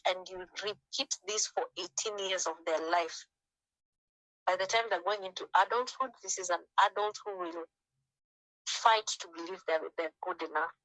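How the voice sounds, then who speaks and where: Brief: woman from Africa, bright clear voice, dry close sound, conversational speaker on a group call. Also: no room sound, rough recording. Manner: conversational, acts out quoted parents with jumps in pitch. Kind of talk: informal talk on a group call.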